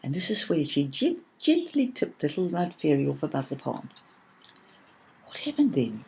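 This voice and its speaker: A woman narrating a children's story from a script in a gentle, expressive, measured way. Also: sits low, gravelly.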